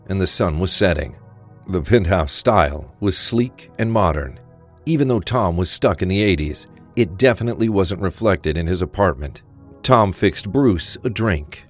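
A man's voice: low voice, lively intonation, normal speed. A man reading scripted narration for an audio drama. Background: music.

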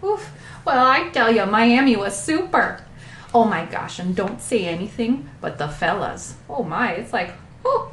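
A woman playing a chatty, folksy artist, rambling with drawn-out exclamations in an interview. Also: on the high side, big pitch swings, a bit bright, echoey room, slight background noise. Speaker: interview guest.

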